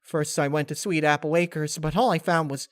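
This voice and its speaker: High-pitched gleeful cartoon girl pony acted by a man, breathless excited chatter, loud, storybook character. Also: normal speed, no room sound, clean studio sound, lightly acted. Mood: overjoyed.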